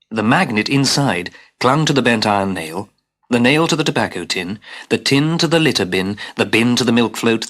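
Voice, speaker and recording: A man narrating a scripted children's story as a dramatic, expressive storyteller who drops into hushed asides. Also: medium pitch. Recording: hissy old cassette tape.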